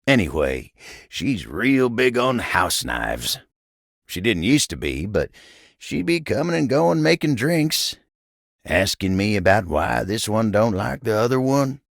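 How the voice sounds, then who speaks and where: An actor playing a folksy, humble, hardworking cowboy coffee table in a video game, with a gravelly American Southern drawl and an easygoing, genial manner. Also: male voice, on the low side, big pitch swings, unhurried, no room sound, studio quality, full character voice. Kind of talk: video game character dialogue.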